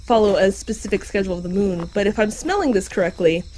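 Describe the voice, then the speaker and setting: A woman's voice: mid-range voice, fast talker, a little mellow, slight background noise, natural voice, rough recording. A woman voicing an easygoing shapeshifting dog creature who explains things matter-of-factly in an audio drama. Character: shapeshifting dog creature, easygoing, helpful park navigator and translator. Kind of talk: fiction podcast audio drama acting.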